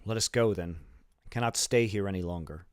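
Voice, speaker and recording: A man voicing an anxious, wavering, soft-hearted fellow in an audiobook, agitated and emphatic. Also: sits low, fairly quick, clear, resonant tone, no room sound, clean studio sound, lightly acted. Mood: uneasy.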